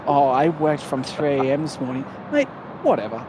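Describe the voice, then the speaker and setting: A man's voice: a little high, very animated, normal speed. An Australian man in casual banter, teasing and mock-grumbling with his mates. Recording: outdoor ambience, clear vehicle noise.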